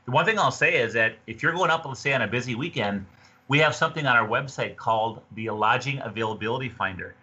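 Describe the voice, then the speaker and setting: A man's voice: mid-range voice, fast, bright, forceful tone, breathy edge. A middle-aged man in his fifties chatting in a folksy, casual way as a guest giving a fishing report over a video call. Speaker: podcast guest, fishing tourism representative. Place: small room indoors, on a video call. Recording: dull, lo-fi video call audio.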